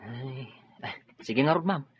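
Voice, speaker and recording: An actor playing a deferential, chatty family driver in a radio drama, exclaiming often and eager to oblige. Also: male voice, mid-range voice, animated, a little slow, husky. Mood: sympathetic. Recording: dull lo-fi radio drama audio.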